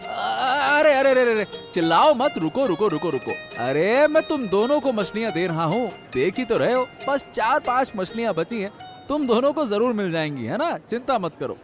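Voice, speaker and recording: A man acts out a village fisherman in a cartoon story. His voice is extremely high for a man, with music clearly behind it. He is busy and good-natured and tends to repeat his phrases. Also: very animated, normal speed. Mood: placating. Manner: repetitive, placating, calling out.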